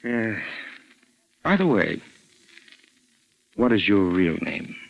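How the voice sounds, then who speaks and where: A menacing middle-aged stepfather speaks in a fairly low, expressive voice. He is sly and falsely genial, probing with measured pauses in the manner of 1940s radio drama. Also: creaky, heavily acted, poor audio. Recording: vintage 1940s radio recording.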